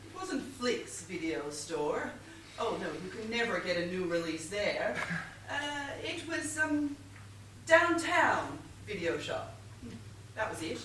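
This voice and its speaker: A woman's voice: mid-range voice, very animated, slow. An actress playing an evasive, flustered married woman under questioning in a stage comedy, with an airy, affected manner. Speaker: stage actor. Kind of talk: stage comedy murder mystery acting. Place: theatre stage, recorded from a distance. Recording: roomy distant stage recording, noisy.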